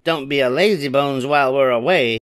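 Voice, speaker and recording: A man's voice: mid-range voice, fairly quick, a bit bright, smooth, no echo, studio quality, pitched above the performer's own voice, lightly acted. A man voicing vain, horrid young stepsisters in a storybook, taunting and haughty. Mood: cruel teasing. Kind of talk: storybook character voice.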